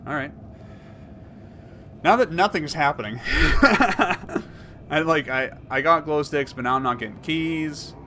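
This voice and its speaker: A man in his thirties commenting on a horror game he plays, rambling and jokey, swinging from mumbles to yells. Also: a little high, expressive, slow, pauses often, slight background noise. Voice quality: hoarse at times. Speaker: gaming YouTuber. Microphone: headset.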